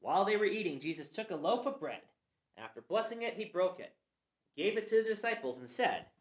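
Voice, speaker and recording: A woman's voice: medium pitch, sing-song, fairly quick. A woman narrating scripture for a church passion play reading. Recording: roomy echo.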